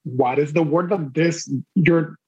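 Thoughtful male translator, native Spanish speaker. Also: fairly high pitch. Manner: deliberate, with frequent restarts. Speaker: translator guest. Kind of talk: reflective podcast conversation. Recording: dull lo-fi remote audio.

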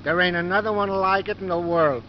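An actor playing a blunt, folksy, wisecracking New cab driver in a 1950s radio drama. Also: male voice, sits high, fairly quick, close to an everyday voice. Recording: muffled, faintly noisy 1950s radio recording.

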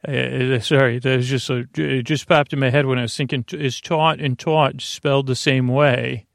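Man, creaky gravelly voice, podcast host, lulling bedtime ramble, halting and meandering, dry close sound. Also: mid-range voice, fairly even intonation, dry recording. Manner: meandering, halting, lulling delivery with frequent digressions.

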